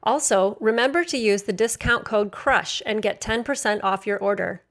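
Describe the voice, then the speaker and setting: A woman's voice: mid-range voice, normal speed, fairly bright tone. A woman hosting a podcast, reading sponsor copy and interviewing a guest in a friendly, upbeat way. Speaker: podcast host.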